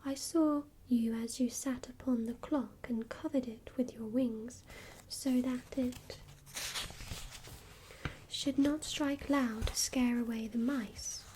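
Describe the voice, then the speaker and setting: A woman's voice: on the high side, steady tone, slow pace, mellow, a little hiss or hum, low-quality recording. A woman whispering the part of a sick young girl scolding her godfather, in a storybook reading. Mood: reproachful.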